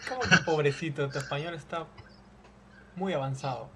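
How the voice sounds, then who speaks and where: A man teaching a language, calmly offering the missing words to his student. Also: a little high, moderate pace, a crowd in the background. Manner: encouraging, supplies missing words. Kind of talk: casual conversation lesson.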